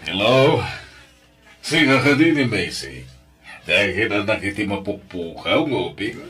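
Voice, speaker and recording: A man's voice: fairly low pitch, lively intonation, measured pace, a bit bright, breathy edge, faint music behind, full character voice. A man with an Ilocano Filipino accent acting as an earnest, emotional man in a radio drama. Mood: earnest, informative.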